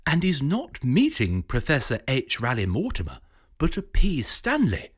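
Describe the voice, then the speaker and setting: A man's voice: mid-range voice, huge pitch swings, a little slow, pitched above the performer's own voice. A man voicing a genial, scheming British professor with a jovial, clipped upper-class manner.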